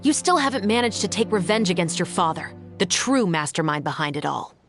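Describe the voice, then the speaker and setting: A dutiful female police captain in a dramatic game scene, pitched fairly low, with music behind. She is formal and firm, a skeptical, restrained interrogator. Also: expressive, brisk. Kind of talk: dramatic video game voice acting.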